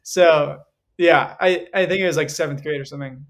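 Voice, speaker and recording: A man's voice: on the high side, moderate pace. A man in his twenties, a singer and guest, chatting casually with many fillers and laughs. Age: 20s. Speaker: guest performer. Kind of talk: casual interview chat.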